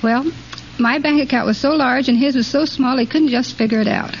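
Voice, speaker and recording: Lady contestant on a 40s radio quiz, chatting answers, highish voice, muffled old recording w some noise. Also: a little fast, creaky. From Kansas City, Missouri, United States. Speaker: game show contestant, mind reader. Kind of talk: conversational answers to host.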